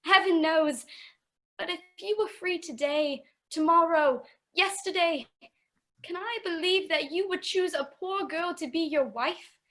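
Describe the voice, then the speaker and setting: A woman's voice: very high voice, a bit bright. A woman in a radio play playing a poor young fiancée who speaks with sorrowful, earnest resolve. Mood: sad, firm. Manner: earnest, measured, plaintive.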